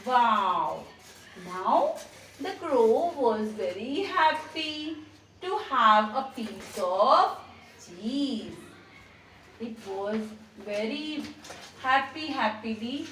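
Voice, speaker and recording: A woman telling a story to children at a puppet show, deliberate and expressive, often repeating her phrases. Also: on the high side. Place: indoor room with small puppet stage. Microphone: camera mic at a distance. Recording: roomy echo, distant mic, faint noise.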